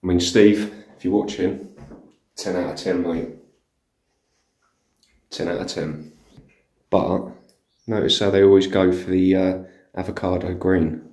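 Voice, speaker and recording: A man's voice: sits low, unhurried, pauses often. A man hosting an exploring vlog, walking through an empty house indoors and marvelling to his viewers as he goes. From England. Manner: excited, marvelling asides to viewers. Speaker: urban explorer host. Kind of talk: walkthrough vlog narration.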